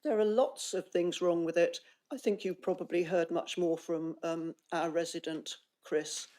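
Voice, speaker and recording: A woman's voice: fairly low pitch, steady tone, measured pace, dark tone. A woman, a councillor losing her voice, earnestly urging a committee with hesitant ums. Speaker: councillor speaking against an application. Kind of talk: pleading speech at a council meeting.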